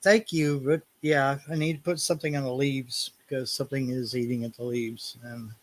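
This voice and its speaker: A man joins a livestream as a guest over a video call. He talks in a laid-back way and pokes fun at himself now and then, with a voice of ordinary pitch. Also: little pitch movement, moderate pace, a little hiss or hum. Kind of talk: casual video call chat. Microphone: computer mic on a video call.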